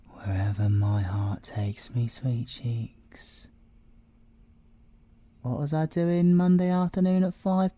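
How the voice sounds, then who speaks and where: A British man playing a cocky, flirtatious male siren in an ASMR audio roleplay, breathy and teasing, often slipping into whispers. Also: mid-range voice, mellow, no echo. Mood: coy, evasive. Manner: teasing, theatrical, drops into whispers.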